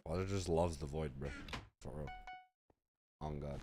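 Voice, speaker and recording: Very deep, hoarse sick gamer guy. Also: normal speed, noisy background. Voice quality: rough, hoarse. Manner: laid-back slang, joking with chat. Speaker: streamer. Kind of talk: casual gaming stream chat.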